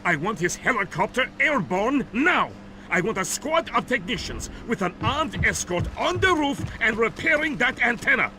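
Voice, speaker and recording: Game antagonist: commanding, raised voice snapping orders, clear background noise. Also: male voice, extremely high pitch, sing-song, normal speed, bright, forceful tone, exaggerated voice. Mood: urgent, ruthless. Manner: barking, authoritative. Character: commanding male military villain barking orders. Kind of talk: video game cutscene acting.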